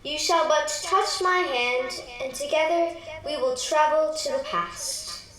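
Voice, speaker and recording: A woman plays a solemn ghost of Christmas past in a radio play. The voice is high, stately and slow, washed in heavy echo as if from a distance. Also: a bit bright. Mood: solemn, calm. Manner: stately, measured. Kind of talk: amateur radio play acting.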